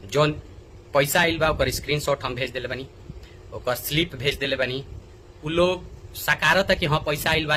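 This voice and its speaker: A man's voice: medium pitch, sharp tone, slight background noise, poor audio. A man with a Bhojpuri accent making an indignant, pleading direct appeal, often repeating himself for emphasis. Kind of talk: agitated direct appeal in a video.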